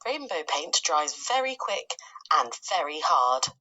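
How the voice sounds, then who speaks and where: A woman's voice: deep voice, very animated, pushed and bright, lo-fi sound, exaggerated voice. A woman playing an earnest, alert young boy in a children's storybook. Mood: matter-of-fact. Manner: earnest, deliberate.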